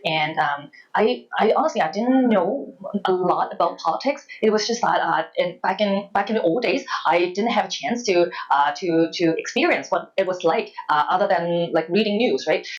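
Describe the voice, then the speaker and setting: A woman in her thirties from Hong Kong, with a Hong Kong accent, answering interview questions reflectively in a halting, hesitant way with repeated words. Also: lowish voice, highs cut off. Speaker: interview guest, former journalist.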